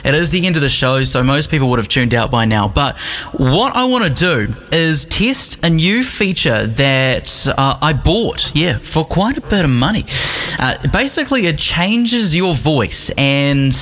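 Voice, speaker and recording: A man's voice: fairly high pitch, very animated, unhurried, a bit bright, slight background noise. A man with a New Zealand accent hosting a live music radio show in a playful, mock exasperated manner. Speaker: radio host. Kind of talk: live music radio presenting with listener calls. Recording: music playing under the voice.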